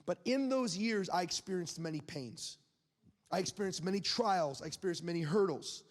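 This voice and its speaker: A man's voice: high-pitched, normal speed, in a large hall. A man in his late twenties preaching a sermon, speaking candidly and conversationally, with laughs and asides to the crowd. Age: 20s.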